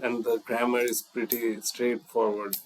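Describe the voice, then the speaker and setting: Male English tutor, brisk corrective interviewer. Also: medium pitch, little pitch movement, low-quality recording. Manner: corrective, quizzing, switching into Bangla for tips. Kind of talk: mock interview coaching.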